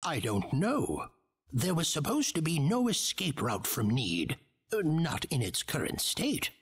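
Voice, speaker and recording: A man playing a wary, measured villain in a video game, with a husky, rough voice. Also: fairly high pitch, wildly swooping pitch, full character voice. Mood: cold, cautious. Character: wary, measured male villain plotting conquest. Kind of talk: English video game dub acting.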